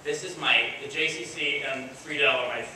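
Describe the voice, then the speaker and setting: A man giving an informal, halting thank-you speech to an audience as a visiting drama teacher. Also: medium pitch, steady tone, sharp tone. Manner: halting, repeating words. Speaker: visiting artist leading school drama residencies. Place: school stage before an audience. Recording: echoey hall, distant mic, audience noise.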